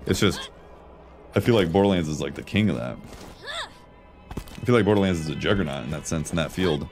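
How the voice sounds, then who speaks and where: A man with a low voice chats casually as he streams a video game. His delivery is animated, rising and falling freely, with informal, slangy musing. Game music plays clearly behind him. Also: brisk.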